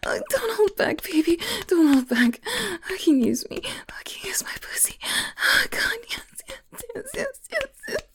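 A woman performing an intimate audio roleplay, whispering and moaning in a teasing, breathy manner. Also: very high voice, sing-song, a little slow, a bit bright. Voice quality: breathy, husky.

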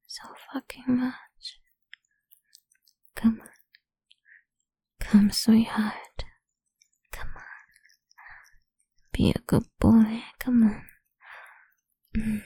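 Slow breathy whispering woman, gravelly and dark. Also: a little high, no room sound. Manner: whispering, dominant and teasing. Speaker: audio roleplay performer. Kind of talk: whispered intimate roleplay.

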